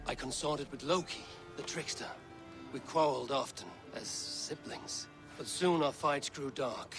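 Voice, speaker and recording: A solemn Norse warrior played by a man from a video game drama. He has a medium-pitched voice and tells of visions in grave, measured, formal phrases with quiet intensity. Music plays clearly behind him. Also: close to an everyday voice. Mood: brooding.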